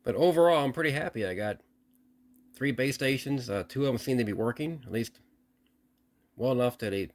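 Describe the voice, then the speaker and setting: A man with a fairly low voice talks through a piece of equipment in a casual, hands-on way. He explains step by step, restarting and repeating himself as he goes. There is some light noise behind him. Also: a little mellow. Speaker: radio repair hobbyist presenting a video.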